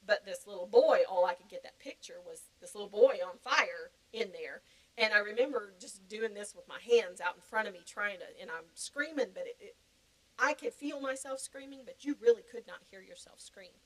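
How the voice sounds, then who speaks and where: A woman's voice: medium pitch, normal speed, poor audio. A middle-aged woman giving a personal testimony as a podcast guest, talking conversationally and earnestly and repeating phrases for emphasis.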